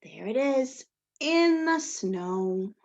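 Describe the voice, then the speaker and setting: A woman teacher doing a story time read-aloud for young children, with simple, deliberate phrasing and a sing-song, questioning delivery. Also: high-pitched, animated, darkish tone. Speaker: teacher reading a picture book to young children.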